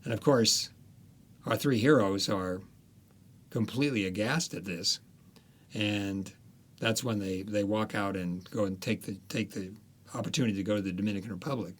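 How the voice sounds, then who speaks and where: Male author guest, lowish voice, rambling storyteller with lots of "uh"s. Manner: storytelling, many pauses and fillers. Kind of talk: interview, recounting history and plot.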